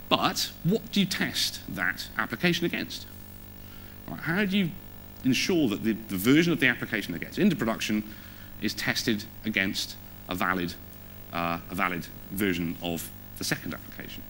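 A man's voice: on the low side, fairly bright tone, low-quality recording. A man giving a technical conference talk on stage in an informal, conversational way, often putting questions to the audience. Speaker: conference speaker. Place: on stage in a theatre or auditorium.

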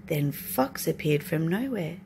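Woman, storytime reader, expressive storybook narration, lively pitch, unhurried, faint noise. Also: gravelly. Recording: noisy home recording.

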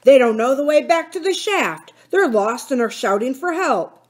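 A woman voicing a rough male villain in a storybook, blustering and commanding in exclamations. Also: sits high, expressive, moderate pace, voice pushed up. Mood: urgent.

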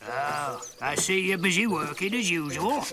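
A man's voice: on the high side, animated, normal speed, very noisy. A man voicing a sceptical, grumbling middle-aged farmer in a cartoon, with a wary drawl. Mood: curious, dry. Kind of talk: cartoon voice acting.

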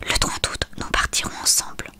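A reader voicing a smitten, anxious older male writer from a novel in a breathy whisper. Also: normal speed, natural voice. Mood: devoted.

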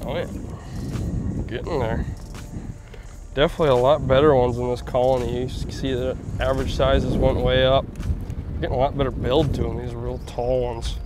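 A man's voice: mid-range voice, normal speed, long pauses, noisy background. Easygoing man explaining fishing on a boat. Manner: easygoing, explanatory, laughs often when excited. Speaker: fishing guide host. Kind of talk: casual fishing instruction. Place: outdoors on a boat on a lake.

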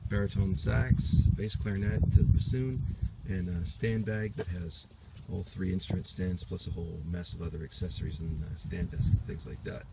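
Man, fifties, low gravelly voice, chatty with fillers, handheld camera. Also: fairly even intonation, normal speed, lo-fi sound, heavy background noise. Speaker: woodwind doubler vlogger. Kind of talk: casual vlog walkthrough. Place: car and large rehearsal hall. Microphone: handheld camera at arm's length.

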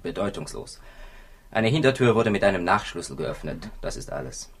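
An actor playing a weary, gossipy police commissioner in a 1960s radio crime drama, husky and confiding. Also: male voice, low-pitched, very animated, moderate pace, heavily acted. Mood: gloomy, wry. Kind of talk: 1960s German-language radio drama acting.

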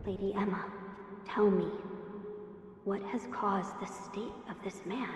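A woman's voice: medium pitch, steady tone, measured pace, slight background noise, natural voice. A woman voicing an earnest, dignified young noble boy in a video game, formal and polite. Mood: troubled.

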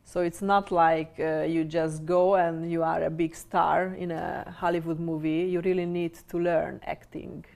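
A woman with a Hungarian accent and a slightly deep voice, answering interview questions in a measured way as she searches for English words. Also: flat delivery, vocal fry, darkish tone.

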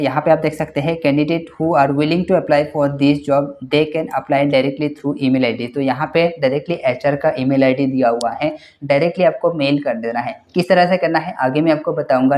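A young man hosting a job-vacancy channel, walking viewers through a listing on screen in a quick, repetitive explainer style, often addressing them as guys. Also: on the high side, a little mellow. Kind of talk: screen-share walkthrough explainer.

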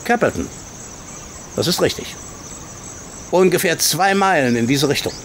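An actor playing a haughty, sceptical colonel and racehorse owner in a radio play, blunt and condescending. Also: male voice, a little high, expressive, a little slow, vocal fry, a bit bright, slight background noise, exaggerated voice. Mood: cool, disdainful. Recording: outdoor insect ambience behind.

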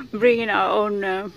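A woman filming herself on a walk outdoors, talking at an unhurried, drawn-out pace in a mid-range voice. She chats along with lots of fillers and little laughs, sounding a bit tired from the heat. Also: mid-range voice. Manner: chatty, laughs often, many fillers. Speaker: vlogger. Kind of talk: casual walking tour narration. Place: outdoors at a beach park with trees.

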